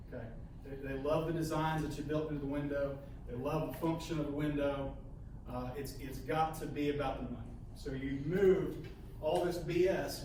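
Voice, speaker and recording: A man leading a sales training session, halting and repeating words, often ending points with "okay?". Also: fairly high pitch, a little mellow. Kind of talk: coaching a training session with role-play. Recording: echoey room, mic far off, faint hiss.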